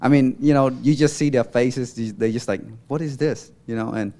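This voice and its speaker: A young man from Cambodia giving an informal, halting church testimony as a mission volunteer, often searching for words. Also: medium pitch, dark tone.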